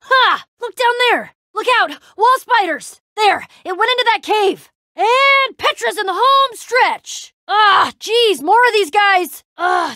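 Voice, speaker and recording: Casting: tough, loyal young female game warrior; high, very animated voice, sarcastic banter, dry close recording. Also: no echo, full character voice. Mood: urgent, excited. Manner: cocky, sarcastic, slangy banter. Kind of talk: animated video game character acting.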